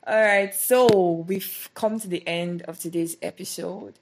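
A woman's voice: on the low side, fairly even intonation, a little slow, rough recording. A woman co-hosting a podcast, playful and chatty, giving short agreeing replies. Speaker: co-host. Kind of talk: casual podcast chat.

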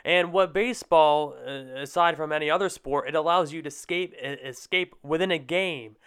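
Clear, higher-voiced young man hosting a podcast. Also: normal speed, fairly bright tone. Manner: long, meandering questions. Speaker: podcast host. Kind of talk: conversational sports interview.

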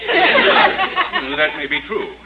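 A man playing a pompous, easily affronted school board official in 1950s radio comedy, sputtering and husky. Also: high voice, wildly swooping pitch, very slow, a loud crowd, very noisy, exaggerated voice. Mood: flustered. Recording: muffled old radio recording.

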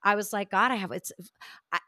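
Middle-aged woman, interview guest, animated storyteller, dry close mic. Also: mid-range voice, fairly quick, no echo. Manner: expressive storyteller, animated asides. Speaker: guest, communication coach. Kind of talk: casual interview chat.

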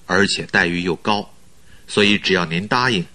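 An actor playing a glib, jovial recruiter in a radio drama, speaking in a hearty, polite and reassuring manner. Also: male voice, sits low, normal speed, pushed and bright. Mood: smooth, persuasive. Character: glib, jovial male recruiter, smoothly persuasive schemer.

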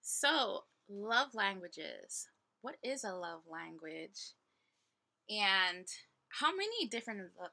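Very bright-voiced young woman podcaster. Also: medium pitch, gravelly. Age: 20s. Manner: playful, slangy girlfriend banter. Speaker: podcast co-host. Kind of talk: casual podcast chat.

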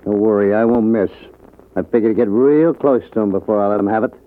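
A man's voice: lowish voice, fast talker, natural voice. Bitter, vengeful drunk played by a man, tinny radio. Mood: grim. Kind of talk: old-time radio drama acting. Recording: tinny old radio recording.

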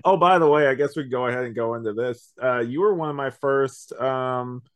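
A man's voice: medium pitch, a little fast, clear, resonant tone, no room sound. A man hosting a podcast, chatting casually about a review and rambling as he thinks aloud. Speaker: podcast host.

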